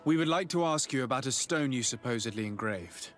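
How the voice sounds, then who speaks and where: A man playing a calm, diplomatic adventuring envoy in a video game, speaking in a level-headed, polite way. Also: mid-range voice, brisk, faint music behind, close to an everyday voice. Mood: calm, inquiring. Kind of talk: video game cutscene acting.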